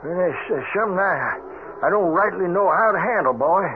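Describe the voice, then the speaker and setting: An actor playing a folksy, superstitious cowhand with a rustic American Western drawl in an old-time radio drama. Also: male voice, high voice, expressive, brisk. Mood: troubled. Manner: drawling rustic cowboy talk. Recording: tinny, noisy old radio recording.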